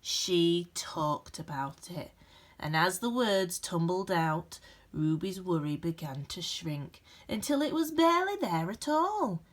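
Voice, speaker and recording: A woman reading a children's picture book aloud with gentle, expressive storytelling emphasis. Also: fairly low pitch, slow. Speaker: storybook reader.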